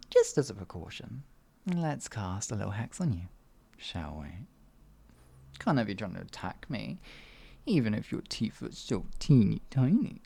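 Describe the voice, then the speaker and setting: A man voicing a sadistic male wizard in an ASMR fantasy roleplay, cruel yet doting, teasing and cooing with soft whispers. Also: medium pitch, vocal fry, heavily acted. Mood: mocking. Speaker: voice actor.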